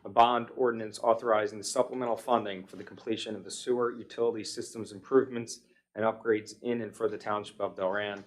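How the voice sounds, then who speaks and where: A man's voice: fairly low pitch, steady tone, fast talker. An American man chairing a township meeting, formally reading out long ordinances and motions in a procedural way. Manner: procedural, reading long legal text aloud. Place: township public meeting room.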